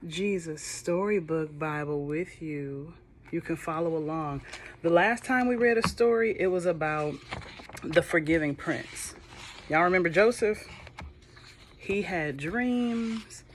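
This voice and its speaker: A woman reading a children's Bible storybook aloud, with gentle, chatty, affectionate asides to the listening kids. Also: deep voice, a little hiss or hum.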